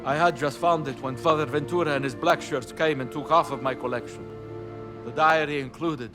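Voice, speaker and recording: An actor playing a gentle, secretive, learned priest in a video game. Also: male voice, a little high, fairly even intonation, a little fast, over music, natural voice. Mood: guarded, kindly. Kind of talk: cinematic game dialogue.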